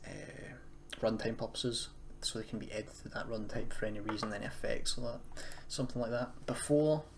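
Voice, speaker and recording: A man's voice: on the low side, normal speed, vocal fry. A man presenting a casual screen-recorded tutorial, explaining in an unscripted, hesitant way. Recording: noisy home recording, keyboard typing.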